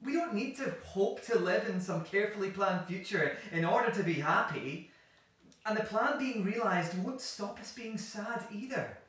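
An actress in an audio play voicing an earnest, reflective idealist who speaks in measured, thoughtful argument. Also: female voice, mid-range voice, expressive, fairly bright tone, natural voice. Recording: very echoey room, far from mic.

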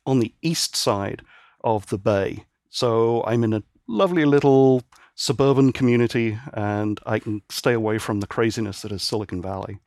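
A man's voice: mid-range voice, moderate pace, no room sound, studio quality. A man, from Northern Ireland and raised in England, talking easily and at length as a podcast guest from his home office. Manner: relaxed, easygoing, explains at length with fillers. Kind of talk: casual interview chat.

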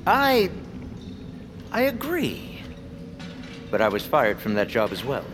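An actor playing an earnest, oblivious, fired radio host in a comic audio drama, theatrical and wordy. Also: male voice, mid-range voice, huge pitch swings, takes it slowly, noisy background.

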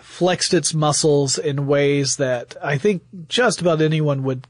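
Higher-voiced man co-hosting a podcast. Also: unhurried, no room sound, studio quality. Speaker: podcast co-host. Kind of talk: casual explanatory podcast chat.

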